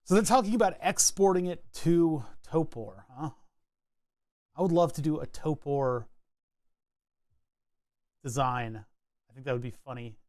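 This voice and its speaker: A man's voice: on the high side, unhurried, long pauses, a little mellow, no room sound, clean studio sound. A man streaming live, chatting casually while he designs a circuit board, thinking aloud and often restarting his sentences. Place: home computer desk.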